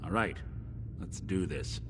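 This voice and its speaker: A man's voice: sits low, slow, background noise. An actor playing a wry, world-weary, loyal male android in a video game, with a dry informal delivery. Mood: wry, resolute.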